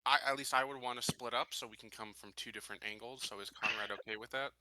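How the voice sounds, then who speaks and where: A man's voice: medium pitch, fairly even intonation, fast talker, crowd noise. A man playing a tabletop game, chatting casually through his plans as a player. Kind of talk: casual game strategy chat.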